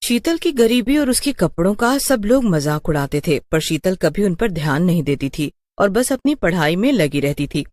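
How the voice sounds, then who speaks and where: Animated woman storyteller with a lowish voice. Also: moderate pace, hardly any pauses, no echo. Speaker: story narrator. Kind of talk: scripted moral story narration.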